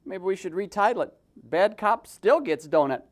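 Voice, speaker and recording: A man's voice: sits high, expressive, moderate pace. A man hosting a comic puppet show, telling the story in a mocking, theatrical and improvised way. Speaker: host and puppeteer.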